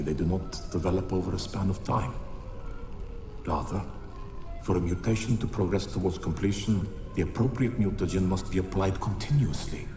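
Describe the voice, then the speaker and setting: A grave, deep-voiced scholar dictates his research journal in a fantasy game. His delivery is measured and formal, ringing with strong echo over plainly audible noise. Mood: clinical, intrigued. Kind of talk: video game character acting, recorded journal. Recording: echoey, noisy recorded-journal sound.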